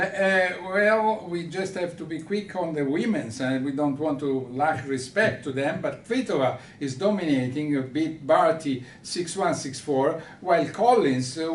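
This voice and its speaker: A man's voice: unhurried, few pauses. A man with an Italian accent hosting an unscripted tennis discussion, hesitant and searching for words as he goes. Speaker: host of a tennis radio show. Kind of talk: unscripted sports discussion with a guest. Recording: roomy, distant mic with background rumble.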